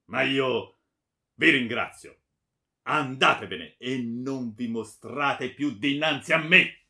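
A man's voice: medium pitch, very animated, gravelly, exaggerated voice. A man voicing a furious, anguished baron, imperious and powerful, in a dramatic story reading. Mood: bitter, cold. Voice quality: powerful, forceful. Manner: imperious, shouting, drawn-out threatening words. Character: furious anguished baron, commanding nobleman, male.

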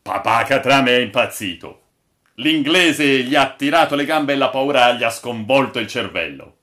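A man voicing incredulous, excitable sailors in a storybook, exclaiming and mocking. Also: medium pitch, moderate pace, voice pushed up. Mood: incredulous, scoffing.

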